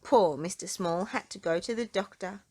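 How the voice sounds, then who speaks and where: A woman reading a children's book aloud in an expressive storytelling style, drawing out words for emphasis. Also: mid-range voice, normal speed, husky.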